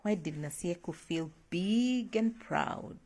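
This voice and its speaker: Woman, parent-teacher role, slightly low register with plenty of vocal fry, casual and encouraging, attentive prompts. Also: animated, slow pace, a little mellow. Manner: attentive, encouraging, often murmuring agreement. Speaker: parent teaching a child at home. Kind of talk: casual encouraging read-along prompting and questioning.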